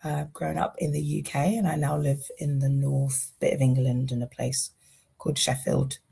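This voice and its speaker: A woman from Zambia who leads a fund, calmly introducing an online information session on a video call. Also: very deep voice, moderate pace, vocal fry, breathy edge. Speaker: fund lead and facilitator.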